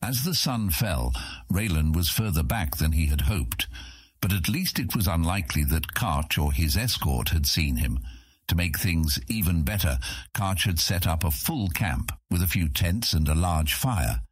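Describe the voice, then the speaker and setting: A man with a husky voice narrating a scripted fantasy audiobook. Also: sits low, expressive, a little slow, no echo, clean studio sound.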